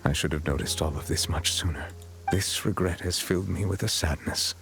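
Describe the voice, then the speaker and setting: A man playing a solemn, dignified chief justice in a video game, speaking in a formal, measured, reserved way. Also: low voice, vocal fry, fairly bright tone. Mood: somber, reflective. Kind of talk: dramatic video game voice acting. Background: game music.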